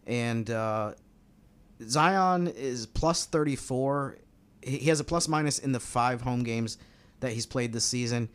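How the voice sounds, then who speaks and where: A man's voice: medium pitch, unhurried, smooth. An American man speaking as a sports podcast analyst in a casual, conversational style. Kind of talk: casual basketball talk.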